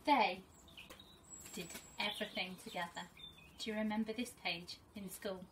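A middle-aged woman reads a children's story aloud like a teacher, expressive and deliberate, outdoors. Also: mid-range voice. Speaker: first school teacher reading to pupils. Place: small fenced garden, outdoors. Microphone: camera a few metres away. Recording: outdoor camera audio, faint birdsong and noise.

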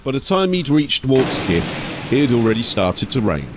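A man's voice: mid-range voice, big pitch swings, moderate pace, noisy background. A man narrating from a script as an audiobook storyteller and host.

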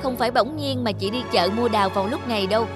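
A woman's voice: fairly high pitch, fast, loud music behind the voice, lightly acted. A woman playing a pushy, scornful middle-aged female customer in a cartoon. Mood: indignant, scornful. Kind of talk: animated cartoon dialogue.

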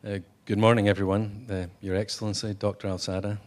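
A man giving a conference speech as a company executive, halting, with many fillers and restarts. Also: lowish voice, flat delivery. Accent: non-Texan. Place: hotel conference room.

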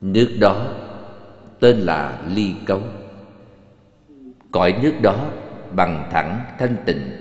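A solemn Buddha from an animated film, speaking. He teaches serenely and unhurriedly. The sound is cavernous and reverberant. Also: sits low. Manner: measured, solemn, formal phrasing. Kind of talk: dubbed animated religious film dialogue. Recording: echoey, reverberant, slightly dull dub track.

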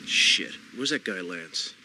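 A man's voice: low-pitched, lively intonation, slow, vocal fry, noisy background, full character voice. An actor playing a cynical, impatient American gangster in his thirties, curt and sardonic, in a video game cutscene.

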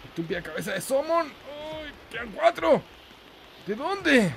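A young man giving casual, excitable, foul-mouthed commentary as host of a gameplay video. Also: very high-pitched, a little slow, vocal fry, background noise. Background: game music.

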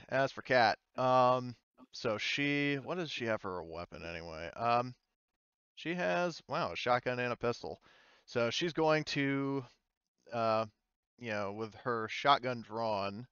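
Guy gming a tabletop game, kinda thinking out loud, smooth voice, bit muffled audio. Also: mid-range voice, expressive, slow pace, no echo. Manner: hesitant, thinking aloud. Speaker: game master. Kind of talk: casual narrating of an online tabletop roleplaying game.

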